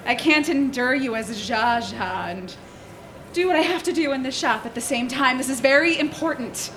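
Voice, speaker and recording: Actress doing a middle-aged Iowa mom, bright high voice, halting and flustered. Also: female voice, faint music behind. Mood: stern. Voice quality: halting, nervous laughter. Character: flustered Midwest mother, embarrassed. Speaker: mother. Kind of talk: live stage radio drama.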